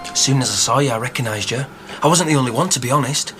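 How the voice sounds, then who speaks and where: An actor playing a friendly, respectful young pit worker in a radio drama. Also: male voice, mid-range voice, rapid-fire, over music, natural voice. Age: young adult. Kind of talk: broadcast radio drama acting.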